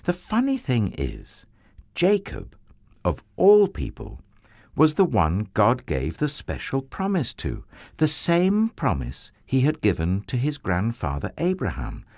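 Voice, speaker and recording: A man telling a children's Bible story in an expressive, theatrical way with drawn-out emphasis. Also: medium pitch, dry recording, clean studio sound.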